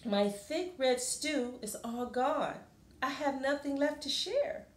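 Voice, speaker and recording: A woman voicing a kind, generous female home cook in a picture book, speaking gently and earnestly. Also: high voice, animated, slow, far from the mic, voice pushed up. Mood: sorry, downcast. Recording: roomy, echoing room sound.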